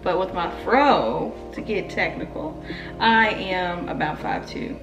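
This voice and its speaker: A chatty woman in her early twenties talks straight to her camera, blunt and teasing, dropping slang as she goes. Her voice bounces around an echoey room, and clear music plays underneath. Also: fairly low pitch, slow, far from the mic. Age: 20s. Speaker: YouTuber answering fan questions. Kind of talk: casual Q&A vlog. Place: indoor room near stairs. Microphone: camera mic, filmed from below.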